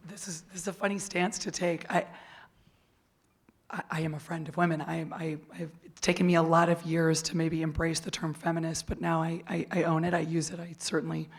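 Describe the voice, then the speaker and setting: A woman's voice: deep voice, flat delivery, fairly quick, husky. A woman speaking as a panelist at a conference, candid and personal, often restarting her sentences. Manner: candid, many restarts and fillers. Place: conference hall stage.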